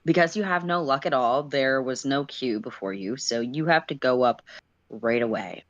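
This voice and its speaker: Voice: mid-range voice, normal speed, a bit bright, dry recording, muffled recording. Dry-room narrator reading stories aloud, playful. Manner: self-deprecating, playful asides when slipping up. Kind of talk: livestream story reading with casual asides.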